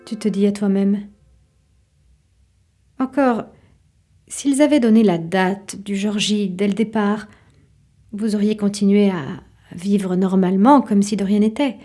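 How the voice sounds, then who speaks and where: A woman narrating a literary audio drama in an intimate, measured way, with a soft voice. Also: mid-range voice, lightly acted. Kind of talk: literary second-person narration in audio fiction.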